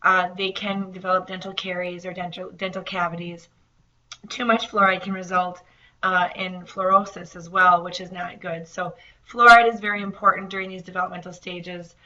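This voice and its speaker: Woman, nutrition instructor, slightly raspy, hesitant with fillers, bright tone, muffled lo-fi sound. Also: fairly low pitch, level tone, moderate pace. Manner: hesitant, many fillers and repeated words. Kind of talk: recorded online lecture.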